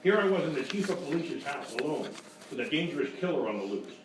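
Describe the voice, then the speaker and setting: An actor playing a boastful, bumbling private eye, narrating in blustering deadpan in a parody of old radio detective drama. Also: male voice, on the high side, fast. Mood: tough, self-important. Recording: very echoey, distant, noisy live stage recording.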